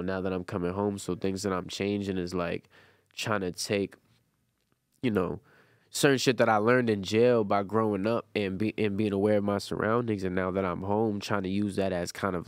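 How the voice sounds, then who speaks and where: A young man, loose and rambling, full of slang. His voice sits low with a smooth, resonant tone. The sound is close and dry. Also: no room sound. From Brooklyn, New York. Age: 20s. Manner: loose, rambling, full of "you know what I'm saying" and slang. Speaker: guest, rapper. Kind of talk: casual interview chat.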